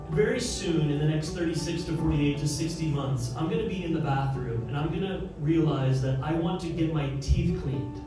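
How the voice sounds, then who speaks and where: Panelist, thirties, energetic and emphatic; handheld mic in a very echoey room, distant pickup. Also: male voice, a little high. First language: English. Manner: fired-up, emphatic, talks with his hands. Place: large office event space, indoors. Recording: roomy, from afar, with music.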